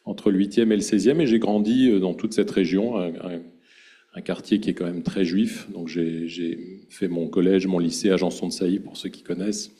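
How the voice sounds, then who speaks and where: A man from France shares his testimony in a hesitant way, stumbling over repeated words. His voice is low, dark and husky. He speaks plainly and without polish. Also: fairly even intonation, normal speed. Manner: hesitant, many fillers and repeats. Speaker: guest giving testimony. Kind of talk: personal testimony.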